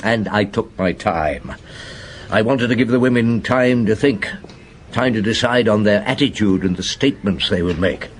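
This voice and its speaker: Man acting a low-voiced, probing police inspector. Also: a little hiss or hum, natural voice. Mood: reflective. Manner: measured, probing, hesitant hums and questions. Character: shrewd, probing male police chief inspector recounting his case. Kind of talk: radio drama acting, narrating and interrogating.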